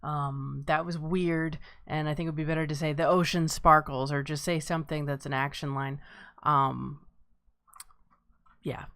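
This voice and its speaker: A woman's voice: low-pitched, fairly even intonation, normal speed, fairly bright tone, no echo, clean studio sound. A woman hosting an informal live script table read, moderating feedback from the readers.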